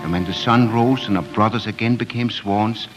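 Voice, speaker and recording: A man's voice: on the low side, moderate pace, poor audio. Man narrating a fairy tale over music. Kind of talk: scripted storybook narration, 1940s radio.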